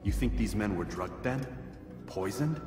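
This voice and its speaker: An actor playing a stern, confident young assassin in a video game cutscene, speaking in a terse, cool and formal manner. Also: male voice, fairly low pitch, lively intonation, a little slow, over music.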